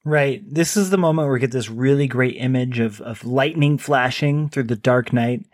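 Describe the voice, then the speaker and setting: A man's voice: mid-range voice, moderate pace, dry recording, clean studio sound. Podcast guy in the middle range, easy book talk, reads an ad too. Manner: conversational, reads a sponsor plug. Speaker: podcast co-host. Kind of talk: casual book discussion and ad read.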